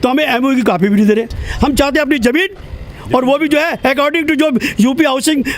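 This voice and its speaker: A man in his seventies with a very high voice argues his case outdoors at a protest. He is indignant and insistent and keeps repeating the same grievance. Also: a little fast, slight background noise. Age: 70s. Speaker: protest spokesman. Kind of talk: street interview.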